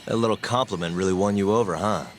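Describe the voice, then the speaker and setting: An actor playing a terse, skeptical private detective with a curt, questioning manner, in video game dialogue. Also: male voice, lowish voice, fast, slight background noise, close to an everyday voice. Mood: dry.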